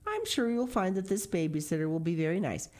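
A woman voicing a flustered, cheerful mother pig in a read-aloud picture book, talking brightly and in a hurry to please. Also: fairly low pitch, lively intonation, close to an everyday voice. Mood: bright, fussing. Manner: bright, hurried, eager to please.

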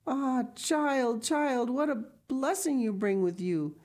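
A woman's voice: on the high side, slow pace, dark tone, pitched above the performer's own voice. A woman voicing a frail, tender old blind grandmother in a storybook, overcome with emotion as she exclaims. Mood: grateful, tender. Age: older.

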